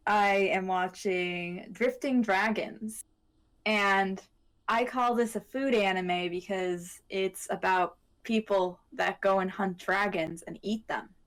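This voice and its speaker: A woman's voice: mid-range voice, takes it slowly. A woman in casual podcast chat, co-hosting with a hesitant, meandering, giggly way of talking. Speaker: podcast co-host.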